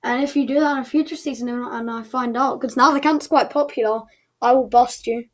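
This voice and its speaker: A woman's voice: high-pitched, steady tone, brisk. A woman casually announcing results as the host of an online show, chatty and thinking aloud as she goes.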